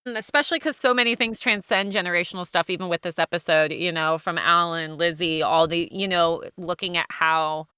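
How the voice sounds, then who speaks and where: A woman's voice: on the low side, fairly quick, hardly any pauses, sharp tone, clear, resonant tone, no room sound. A woman hosting a casual panel chat in an effusive, informal way. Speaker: host of a cast discussion.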